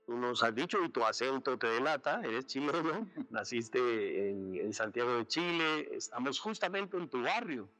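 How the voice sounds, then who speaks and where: A man hosting a university interview program, introducing his guest with pauses and fillers. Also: medium pitch, lively intonation, pushed and bright, faint music behind, poor audio. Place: outdoors in a city neighborhood.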